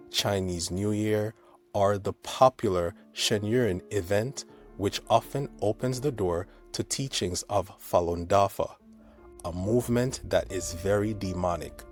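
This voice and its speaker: Really deep guy from jamaica doing serious religious warning commentary, faint music behind him. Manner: earnest, admonishing. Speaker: religious teacher and video host.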